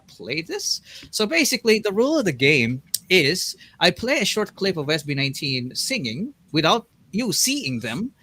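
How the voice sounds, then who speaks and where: A man hosting a casual live-stream interview, excitable and quick to laugh. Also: high-pitched. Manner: excitable, laughs often, says "bro" and "dude". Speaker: livestream host.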